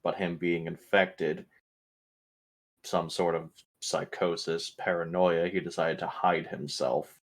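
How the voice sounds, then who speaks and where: A man's voice: sits low, little pitch movement, slow pace. A man playing a tabletop roleplaying game, talking casually as he reasons through the story with the group.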